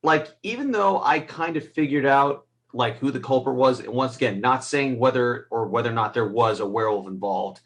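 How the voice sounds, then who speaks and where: A man in a casual, unscripted film review, speaking haltingly and groping for his words. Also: medium pitch.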